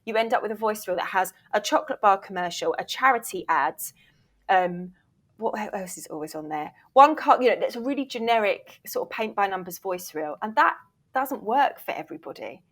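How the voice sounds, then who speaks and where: Female guest, mid-pitched voice, conversational and candid, emphatic explainer who restarts sentences as she goes. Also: expressive, a little fast, fairly bright tone. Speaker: voice reel producer, interview guest. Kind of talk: casual, chatty explanation.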